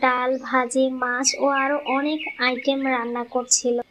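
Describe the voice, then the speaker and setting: A woman narrating a cartoon moral story in a soft, even voice. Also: high-pitched, moderate pace, noisy background. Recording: muffled lo-fi audio.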